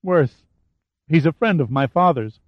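A man's voice: a little high, animated, unhurried, no room sound, voice pushed up. A man voicing a frightened, sobbing young woman. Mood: pleading. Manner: breathless, pleading, panicky. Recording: dull, muffled dry recording.

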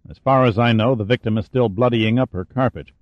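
A blunt man of action speaks curtly, with a dry sardonic edge, as a male narrator plays him. His pitch sits in the middle, and the audio is muffled and dry. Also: a little fast, no echo, natural voice. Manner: curt, snapping, sardonic. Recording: dull, muffled dry recording.

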